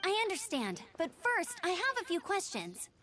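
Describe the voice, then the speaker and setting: A woman's voice: extremely high pitch, huge pitch swings, moderate pace, background noise, full character voice. A woman playing a spirited, confident young knight in a video game, questioning and dutiful. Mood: inquisitive. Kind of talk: English video game voice acting.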